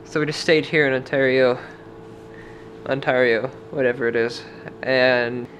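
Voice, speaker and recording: A man's voice: medium pitch, fairly even intonation, a little slow, fairly bright tone, slight background noise, low-quality recording. Chatty young man vlogging from a motel. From Washington state, US. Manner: chatty, rambling storytelling with many "like" fillers. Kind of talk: casual travel vlog with voice-over. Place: dim motel room, indoors. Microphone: camera held at arm's length.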